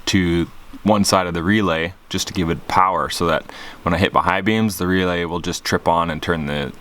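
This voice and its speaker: A man's voice: low voice, steady tone, moderate pace, fairly bright tone. A man giving a casual, rambling hands-on walkthrough as a vlogger, explaining things informally with plenty of slang. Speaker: vlogger showing his truck radio install. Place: in and around a parked truck.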